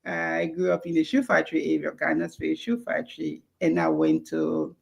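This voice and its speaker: A woman's voice: deep voice, animated, normal speed, vocal fry, a little mellow. A woman from Liberia, telling her life story as an interview guest. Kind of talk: conversational interview.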